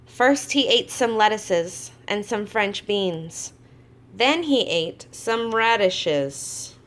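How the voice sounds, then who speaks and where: A woman's voice: mid-range voice, takes it slowly. A woman reading a storybook aloud to young children, expressively, with chatty little asides. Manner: expressive, acts out exclamations, adds chatty asides to young listeners. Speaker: kindergarten teacher reading to class.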